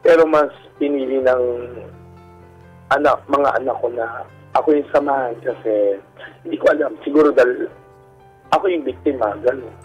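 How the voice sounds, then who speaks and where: Husky, halting middle-aged Filipino man. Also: mid-range voice, a little mellow, poor audio. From Philippines. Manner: halting, candid. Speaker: guest telling his life story, a stage performer. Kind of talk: personal storytelling in a radio interview. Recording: radio show with music bed. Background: background music.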